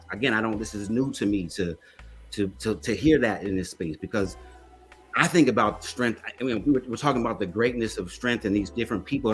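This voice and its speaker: Male panelist, forceful bright tone, emphatic and repetitive delivery, impassioned discussion, faint music. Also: on the low side, slightly rough. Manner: emphatic, repeats phrases for force. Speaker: panel speaker. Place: online video panel.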